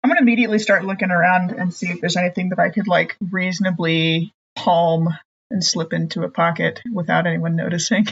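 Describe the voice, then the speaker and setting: A woman's voice: lowish voice, fairly even intonation, fairly quick, husky. An American woman playing a tabletop roleplaying game, chatting in a playful way and often correcting herself. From United States. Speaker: player. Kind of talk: actual-play tabletop game. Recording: dull lo-fi audio.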